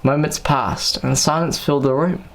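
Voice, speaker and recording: A man's voice: fairly high pitch, fairly even intonation, normal speed, vocal fry. A man reading scripted audiobook narration as the story's narrator.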